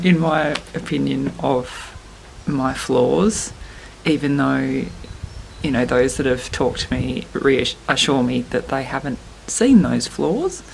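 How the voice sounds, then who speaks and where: A woman speaks slowly and thoughtfully in a low, gravelly voice that sounds croaky from singing. She talks candidly, with frequent pauses and fillers. Faint noise sits behind her. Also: poor audio. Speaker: musician vlogging at a festival. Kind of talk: reflective casual vlog talk.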